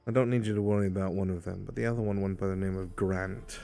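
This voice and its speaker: A man's voice: low-pitched, fairly even intonation, fast talker, vocal fry, mellow, faint music behind. A man playing a commanding, protective fantasy leader in a live roleplay, terse and given to orders. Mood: quiet, protective. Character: commanding, protective man, self-assured dangerous leader of hunters.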